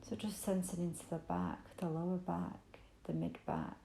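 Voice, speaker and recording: A woman leading a guided meditation in a gentle, soothing, invitational manner with unhurried pauses. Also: fairly low pitch, sing-song, gravelly, echoey room, slight background noise. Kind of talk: guided body scan meditation.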